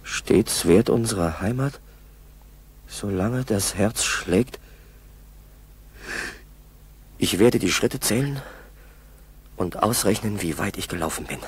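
An actor playing a feverish, wounded pilot who talks to himself in halting, gasping fragments in a 1960s German radio play. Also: male voice, lowish voice, lively intonation, husky, heavily acted. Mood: determined, faltering. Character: wounded, exhausted, feverish downed pilot struggling through snowy forest. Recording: hissy, noisy 1960s tape recording.

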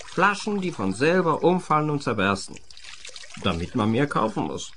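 A man's voice: a little high, very animated, normal speed, a bit bright, slight background noise. An actor playing a bitter, drunken jobless man who grumbles and rants in a radio play. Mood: grumbling. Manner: grumbling, repetitive ranting.

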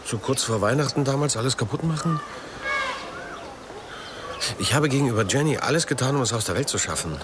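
Guilt-ridden man male actor, mid-pitched, expressive intonation, halting and defensive, naturalistic radio crime drama, audible background ambience. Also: noisy background, lightly acted. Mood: defensive, self-justifying. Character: guilty man confessing to a friend, defensive, self-justifying.

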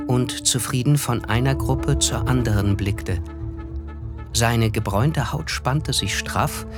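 An actor playing a reflective young man who narrates a literary radio play in a measured manner. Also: medium pitch, close to an everyday voice. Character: reflective male narrator, young observer at lavish parties.

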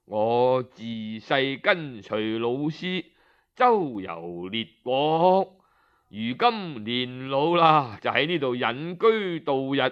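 A man voicing a kindly, courteous old physician living as a hermit, gently reassuring in a Cantonese storytelling style. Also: medium pitch, animated, takes it slowly, smooth, dull audio, pitched below the performer's own voice. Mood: genial. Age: older.

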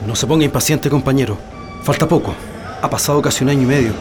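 Actor as measured prisoner leader, music behind. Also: male voice, mid-range voice, lightly acted. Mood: steady, reassuring. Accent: Chilean. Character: calm, methodical male leader of political prisoners plotting escape. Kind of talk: radio drama acting.

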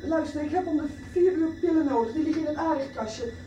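A woman playing a distressed, anxious wife in a radio soap, pleading and halting as she speaks. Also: high-pitched, warm tone, reverberant, far from the mic, lo-fi sound, slight background noise, close to an everyday voice. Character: distressed, pleading wife secretly plotting against her husband.

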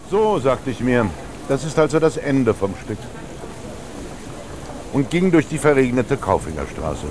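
A man's voice: medium pitch, big pitch swings, a little slow, noisy background. An actor playing a lonely, reflective man narrating his thoughts in a radio drama, with a dry literary delivery. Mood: resigned. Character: lonely young scribe, musing narrator wandering through rainy Munich. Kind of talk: introspective radio drama monologue.

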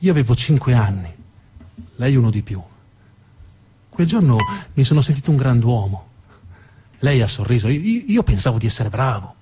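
A man's voice: mid-range voice, very animated, moderate pace, pauses often, mellow, slight background noise, natural voice. A man voicing an eighteen-year-old young man who looks back on his first kiss, wistful and self-deprecating, in a stage monologue.

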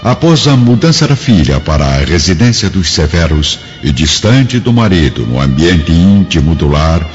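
A man with a Brazilian accent giving solemn, formal, dramatic narration for a radio drama. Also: deep voice. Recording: dull lo-fi radio drama, music behind.

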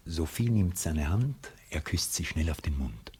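A man's voice: a bass voice, expressive, measured pace, a little mellow, slightly rough. A man narrating the action of a radio play in a scripted delivery. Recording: noisy old radio recording, crowd behind.

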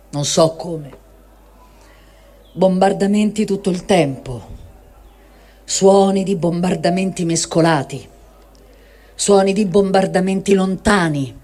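A performer reading the diary of a war-weary, sleepless man, in short, deliberate, separated phrases. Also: medium pitch, a little mellow. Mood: tense, weary.

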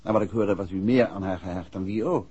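An actor playing a courteous, persuasive private investigator in a 1960s radio drama. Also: male voice, fairly low pitch, quick pace, warm tone, breathy edge. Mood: polite, coaxing. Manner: polite, coaxing. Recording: muffled old 1960s radio recording.

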